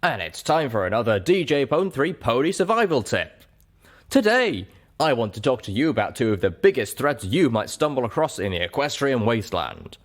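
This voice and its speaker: A man's voice: medium pitch, big pitch swings, moderate pace, pitched above the performer's own voice. A man voicing a female pony radio DJ, theatrical and folksy as she addresses her listeners with survival tips. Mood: showy, teasing. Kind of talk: radio survival-tip broadcast.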